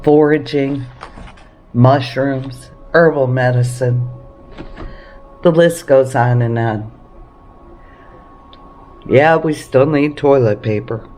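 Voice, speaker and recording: A woman talking casually to camera about practical living as a homesteading vlogger, encouraging and emphatic, with deliberate pauses. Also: a bass voice, a little mellow, a little hiss or hum, low-quality recording. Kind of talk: casual preparedness talk to camera.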